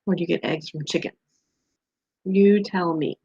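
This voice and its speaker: A woman's voice: fairly low pitch, steady tone, normal speed, creaky, dark tone, breathy edge. A woman teacher leading a read-aloud quiz for young children, asking simple questions and giving encouragement in a slightly dull, lo-fi recording.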